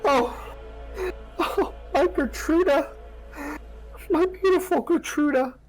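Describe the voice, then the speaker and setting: A man's voice: extremely high pitch, takes it slowly, soft background music, pitched above the performer's own voice, heavily acted, poor audio. A man voicing a grief-stricken village woman who pleads tearfully for help finding her lost daughter. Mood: sobbing.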